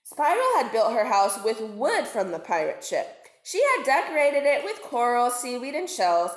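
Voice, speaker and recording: A woman's voice: fairly high pitch, big pitch swings, moderate pace. A woman reading a storybook aloud to children as a narrator. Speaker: storybook reader, teacher. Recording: echoey room.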